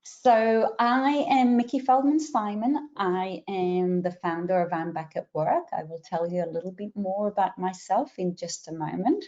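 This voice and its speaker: A middle-aged woman with a mixed Israeli, Australian and American accent, hosting a webinar and giving clear step-by-step instructions. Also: mid-range voice, steady tone, darkish tone, lo-fi sound. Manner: clear and instructive, with some filler pauses. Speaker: webinar host and career coach. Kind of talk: webinar introduction.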